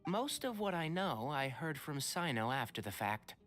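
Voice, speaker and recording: A man's voice: a little high, big pitch swings, normal speed, fairly bright tone, soft background music. A man playing a wry, sensible young forest scholar in a video game, articulate and dryly complaining.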